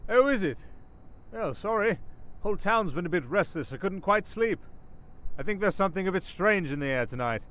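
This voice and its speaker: A man playing a sly, menacing werewolf gang leader in an ASMR roleplay, smooth-talking and mocking with false politeness. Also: sits high, expressive, moderate pace, a bit bright, low-quality recording. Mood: falsely polite. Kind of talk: ASMR roleplay villain acting.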